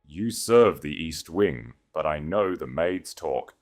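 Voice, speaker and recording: A man voicing a proud, cunning grand duchess with a calm, measured authority in an audiobook romance. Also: a bass voice, heavily acted. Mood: commanding.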